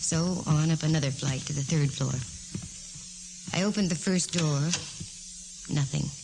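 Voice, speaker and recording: A woman's voice: low voice, measured pace, vocal fry. An American actress playing a wisecracking, fearless female private eye in a 1940s radio detective drama, with a wry, breezy, slangy delivery. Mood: cool, suspenseful. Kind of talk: 1940s radio detective drama acting, first-person narration and dialogue. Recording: hissy 1940s radio broadcast recording.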